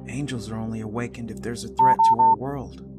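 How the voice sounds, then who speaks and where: An actor playing an earnest, intense, newly awakened angel soldier with brooding, dramatic delivery in a visual novel game. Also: male voice, medium pitch, huge pitch swings, a little fast. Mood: agitated. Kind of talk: visual novel voice acting, introspective narration. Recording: music behind the voice. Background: background music.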